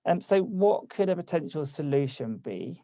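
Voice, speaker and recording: A man's voice: a little high, expressive, moderate pace, warm tone, no echo, dull audio. A man hosting a live online workshop over a video call, casual and conversational, losing his voice. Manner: casual, conversational, reads chat aloud. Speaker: workshop host.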